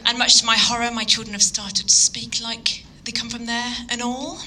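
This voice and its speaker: Bright-voiced woman from Yorkshire preaching. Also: fairly high pitch, level tone, normal speed. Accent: Yorkshire English. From Yorkshire, England. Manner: earnest, hesitant with frequent ums. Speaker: guest speaker. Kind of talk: evening sermon.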